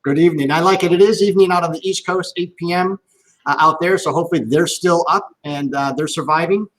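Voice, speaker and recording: A man's voice: fairly high pitch, quick pace, fairly bright tone, poor audio. Upbeat, chatty male stream host. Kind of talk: live-streamed interview. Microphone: video call.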